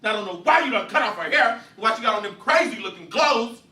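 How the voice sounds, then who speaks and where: A man voicing a rough, furious father who shouts in harsh rural dialect and breaks down. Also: sits high, expressive, a little fast, voice pushed up. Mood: enraged. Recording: roomy, distant mic, faint hiss.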